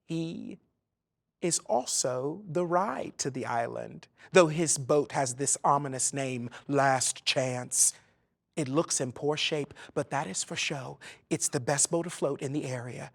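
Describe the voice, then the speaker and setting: A male actor reads a written letter aloud at a very slow pace. His manner is tender and story-like, with dramatic phrasing. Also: a little high, creaky.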